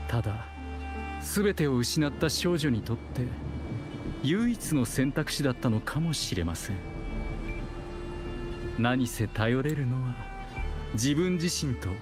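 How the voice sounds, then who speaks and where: An actor playing a detached, wry chronicler in a video game, narrating in a polite, formal and measured way. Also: male voice, medium pitch, natural voice. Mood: wry, reflective. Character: composed, detached male chronicler narrating a heroine's saga.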